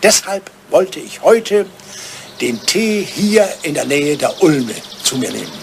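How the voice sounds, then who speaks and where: An older man, an actor playing an excitable, wistful old lord in love, theatrical and impulsive, in a radio comedy drama. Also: fairly high pitch, slow, vocal fry, exaggerated voice. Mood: animated, wry. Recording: old radio play recording, faint noise.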